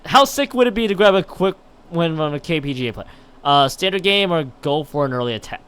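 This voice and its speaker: A man with a clear, smooth, fairly high voice reads a game story aloud on a livestream. His delivery swings widely in pitch, and he trips over the text and drops in casual exclamations of his own. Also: lively intonation, a little fast, fairly bright tone. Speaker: game streamer. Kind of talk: livestream read-aloud of a visual novel with casual reactions.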